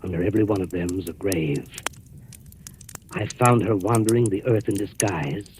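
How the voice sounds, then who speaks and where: A man's voice: sits low, lightly acted. Guy acting as creepy unhinged hotel keeper, old radio drama, folksy but pushy and ominous, bit of noise on the recording. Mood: brooding, matter-of-fact. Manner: folksy, insistent, ominously deliberate. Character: menacing, deranged male hotel keeper obsessed with his dead sister-in-law. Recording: noisy vintage radio recording.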